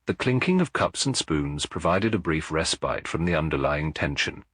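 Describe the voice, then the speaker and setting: Deep, husky man narrating a mystery. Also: animated, normal speed, a bit bright, no echo, clean studio sound. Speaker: audiobook narrator. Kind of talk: scripted dramatic mystery narration.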